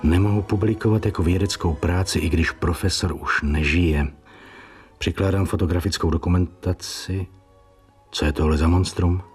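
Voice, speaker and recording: Low-pitched male writer played by an actor, radio drama, curious and wry, muttering fragments and asides to himself. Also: steady tone, a little mellow, lightly acted. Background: faint music.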